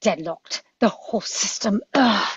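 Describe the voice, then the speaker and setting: A woman playing a quick-thinking, commanding adventurer doctor in a script read, with a husky voice and urgent manner. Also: medium pitch, highs cut off.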